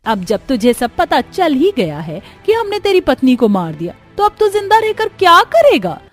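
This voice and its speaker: A woman voicing a ruthless, greedy cartoon villainess with a loud, threatening manner. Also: high-pitched, wildly swooping pitch, normal speed, faint music behind, voice pushed up. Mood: menacing. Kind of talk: cartoon horror dialogue.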